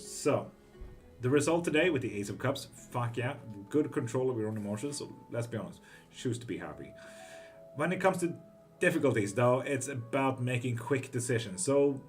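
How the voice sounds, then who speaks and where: A man's voice: medium pitch, soft background music. Laid-back man streaming tarot. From Greece. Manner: relaxed and profane, with quick laughs. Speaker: live tarot reading streamer. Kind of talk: casual live-stream chat, reading comments aloud.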